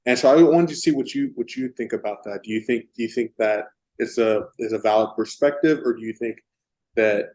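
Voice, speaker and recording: Texan male host asking long rambling questions, mid-pitched, stop-start. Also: darkish tone. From Texas. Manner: halting, searching for words with many restarts. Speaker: interviewer. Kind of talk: casual interview.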